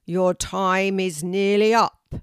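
A woman voicing a loud, commanding magical oak tree that acts as a teacher in a children's story. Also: fairly low pitch, slow, gravelly, dry recording, studio quality. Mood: stern. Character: booming magical oak tree teacher, stern but kind.

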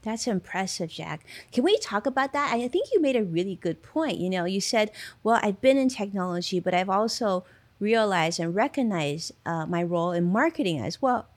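A woman hosts an interview at an ordinary middle pitch. She encourages her guest and repeats his own words back to him before asking her next question. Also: lively intonation, moderate pace. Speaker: podcast host.

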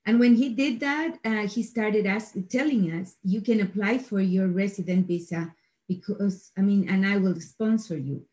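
A woman from Ecuador, telling her story in an interview in a halting, self-correcting way. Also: mid-range voice, darkish tone. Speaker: guest, financial education instructor.

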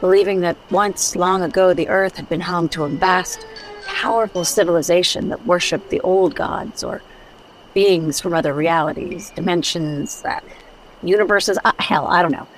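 A woman's voice: on the low side, moderate pace, soft background music, natural voice, low-quality recording. An actress playing a blunt, world-weary female adventurer in a radio detective drama. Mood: grim recollection. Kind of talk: old-time radio drama acting.

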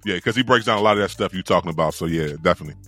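An American man co-hosts a casual podcast in a fairly deep voice. He talks fast and slangy, doubling back on phrases. Faint music runs behind him. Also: pushed and bright. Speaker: podcast host. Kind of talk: casual chat.

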